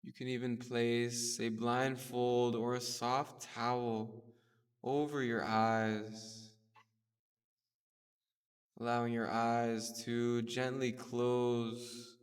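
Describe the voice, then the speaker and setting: A man leading a guided meditation with gentle, soothing, unhurried instruction. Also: medium pitch, little pitch movement, clear, resonant tone. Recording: distant mic in a reverberant space.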